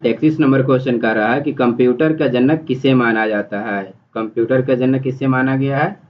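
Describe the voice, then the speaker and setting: A man's voice: mid-range voice, fairly even intonation, normal speed. A man teaching exam prep, reading multiple-choice questions and explaining the answers in an instructional, repetitive way. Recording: dull, muffled lo-fi audio.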